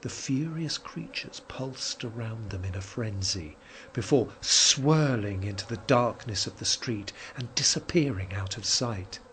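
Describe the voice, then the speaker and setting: A man narrating a horror story in a measured, suspenseful and dramatic way. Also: lowish voice, lively intonation, a bit bright, slight background noise, low-quality recording. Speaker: narrator reading a short story.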